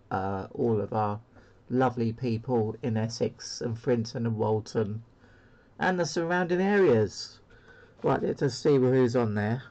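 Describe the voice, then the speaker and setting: A man's voice: mid-range voice, darkish tone. A man talks casually and without a script, as a hobbyist showing gear. He hesitates and rambles as he goes. The recording is slightly dull and lo-fi. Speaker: CB radio hobbyist presenting a demo. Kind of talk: casual unscripted product demo. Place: indoor radio room.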